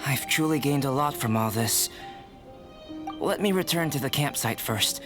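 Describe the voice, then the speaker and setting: An actor playing a calm, studious young alchemist in an anime fantasy video game, speaking in a measured, polite and precise way. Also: male voice, mid-range voice, music in the background, close to an everyday voice. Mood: composed.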